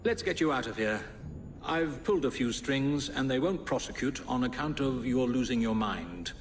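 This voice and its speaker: Man playing a distant, lilting, condescending gentleman. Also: mid-range voice, animated, moderate pace, slight background noise, natural voice. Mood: amused, condescending. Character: amused, condescending male rescuer in Victorian drama.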